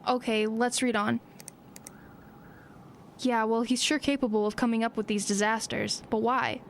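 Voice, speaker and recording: A woman's voice: flat delivery, moderate pace, close to an everyday voice. A woman playing an earnest, practical small-town teenage girl in an audio drama, plain-spoken and matter-of-fact. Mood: thoughtful. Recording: clear outdoor ambience and vehicle rumble.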